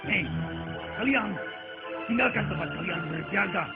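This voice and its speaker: A man's voice: high-pitched, lively intonation, measured pace, vocal fry, full character voice. A man playing a bossy, exasperated commander in a religious cartoon, with a barking, commanding manner. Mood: bossy, irritated. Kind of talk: dubbed religious cartoon acting. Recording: dull lo-fi audio under loud music.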